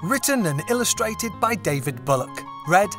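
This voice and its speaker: A man narrating a children's story as an audiobook reader, with expressive, deliberate phrasing. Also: high voice, over music.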